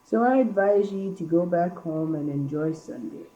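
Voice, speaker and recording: Girl as a muffled, dark-voiced millhand. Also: fairly low pitch, animated, normal speed, slight background noise, close to an everyday voice. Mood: reassuring. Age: young teenager. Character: shrewd, placid male English village millhand. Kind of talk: amateur school radio play. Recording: muffled, distant amateur recording.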